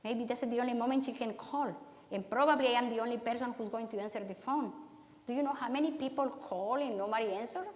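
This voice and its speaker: A woman with a Spanish accent, a native Spanish speaker, giving a talk to a church audience in a halting, conversational way with many restarts. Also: fairly high pitch. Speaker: guest speaker. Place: church hall. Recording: echoey hall with long reverb.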